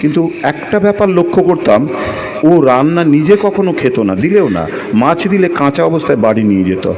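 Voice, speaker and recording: A man's voice: on the high side, animated, dull audio. Man acting brooding romantic storyteller, noisy ambience. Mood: brooding, reflective. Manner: literary, poetic phrasing with drawn-out pauses. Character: lovestruck, brooding man recounting a secret romance. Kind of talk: dramatic first-person narration and dialogue, audio drama.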